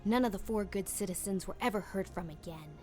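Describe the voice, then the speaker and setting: A woman's voice: mid-range voice, brisk, loud music behind the voice, close to an everyday voice. A woman playing a dramatic young camper in a campfire audio drama, with an emphatic, theatrical way of talking. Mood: suspenseful, dramatic. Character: dramatic young girl camper telling a spooky tale.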